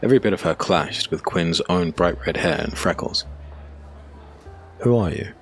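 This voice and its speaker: A man reading scripted dark fantasy narration in a measured, dramatic, gravelly voice. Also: low voice, soft background music. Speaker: audiobook narrator.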